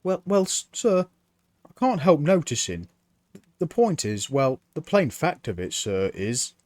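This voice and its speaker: A man's voice: on the high side, very animated, unhurried, a little mellow, no echo, pitched above the performer's own voice. A man voicing Mort, a nervous, earnest young apprentice, with hesitant, stammering, polite delivery. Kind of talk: audiobook character reading.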